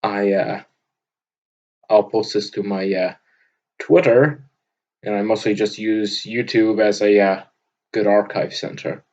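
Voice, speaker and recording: Booming young man rambling into a computer mic. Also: steady tone, slow, long pauses, muffled recording. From Vermont, USA. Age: young adult. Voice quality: loud booming voice. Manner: rambling, frequent filler words and restarts. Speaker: audio log host. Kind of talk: unscripted casual monologue. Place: at his desk, indoors. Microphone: computer microphone on a desk.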